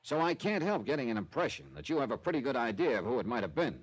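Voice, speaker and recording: A man's voice: mid-range voice, big pitch swings, fast, husky, dry recording. An actor playing a wry, sardonic investigator who narrates his case in a clipped old-time radio detective style. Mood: needling. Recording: vintage radio recording with music.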